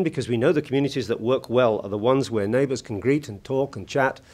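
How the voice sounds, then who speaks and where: A husky British man speaks at a lectern microphone, delivering a keynote to a conference audience. He talks in a measured, deliberate way, often pausing on small hesitations before pressing on. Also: medium pitch, a little mellow. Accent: British English. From United Kingdom. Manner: measured, hesitant with many "uh" fillers. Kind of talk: formal conference talk. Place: large conference hall at a lectern.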